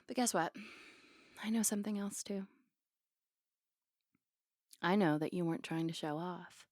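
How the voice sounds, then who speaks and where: A woman's voice: on the low side, unhurried, darkish tone, no echo, clean studio sound, natural voice. A woman playing a flirty, playful, affectionate barista on a date in an intimate, teasing ASMR roleplay. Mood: warm, sincere.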